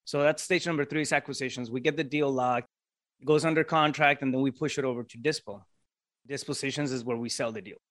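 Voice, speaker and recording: A man's voice: medium pitch, fairly even intonation, fast talker, fairly bright tone. Podcast guest, man with a Mexican Spanish accent, a native Spanish speaker; casual and explanatory, checks in with tag questions. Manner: explanatory, lays out steps, frequent tag questions. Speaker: podcast guest, real estate investor. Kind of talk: casual interview talk.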